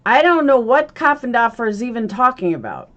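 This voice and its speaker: A woman's voice: fairly high pitch, sing-song, fairly quick, a bit bright, dull audio. A woman giving casual reaction commentary in a blunt, scornful manner, swearing freely. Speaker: commentator reacting to a played clip.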